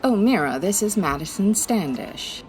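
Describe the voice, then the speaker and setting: A woman's voice: medium pitch, lively intonation, normal speed, a little mellow, natural voice, poor audio. A woman playing a classy, wry female private eye in a 1940s radio detective style, breezy and full of quips. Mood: friendly, teasing.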